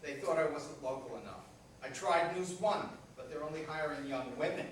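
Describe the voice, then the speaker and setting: A man's voice: on the high side, moderate pace, far from the mic, close to an everyday voice. A man playing a defensive, self-important aging superhero who is out of work, in a staged comedy play reading. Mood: plaintive. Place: echoey room at a live staged reading. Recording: very echoey hall from afar, faint noise.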